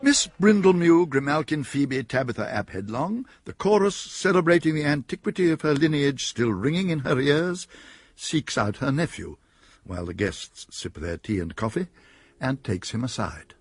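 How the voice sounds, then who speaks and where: A man narrating a radio drama in a wry, measured storytelling manner. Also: medium pitch, very animated, breathy edge, no room sound. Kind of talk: scripted narration.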